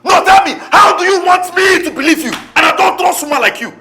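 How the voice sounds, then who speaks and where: An actor with a Nigerian accent playing a domineering, jealous husband in a melodramatic film, bullying and scornful. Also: male voice, extremely high pitch, expressive, fast talker, bright, forceful tone, a little hiss or hum, full character voice.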